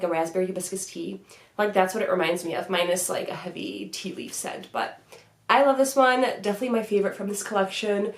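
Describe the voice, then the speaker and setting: A woman's voice: mid-range voice, roomy sound. A young woman in her twenties with a stuffy cold, chatting upbeat in a casual product review vlog, filmed seated in her bedroom. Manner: chatty, upbeat, many "like" fillers. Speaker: beauty vlogger. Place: bedroom vanity room, indoors.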